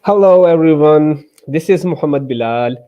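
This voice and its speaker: A man's voice: a little high, normal speed, highs cut off. A man from Pakistan with a Pakistani English accent, hosting a student tech session in an upbeat, welcoming way. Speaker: student club lead, host.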